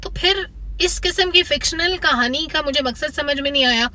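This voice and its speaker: A woman's voice: high-pitched, normal speed, sharp tone. A woman with a Pakistani accent giving a critical, opinionated spoken film review to viewers.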